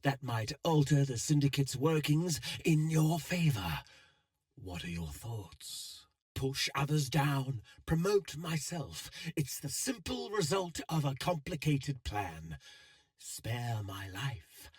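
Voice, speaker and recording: An actor playing a calculating, world-weary male schemer in a video game, speaking in measured, eloquent, cryptic phrases. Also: mid-range voice, very animated, darkish tone, no room sound, clean studio sound. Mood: scheming, bargaining. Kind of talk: scripted game dialogue, theatrical.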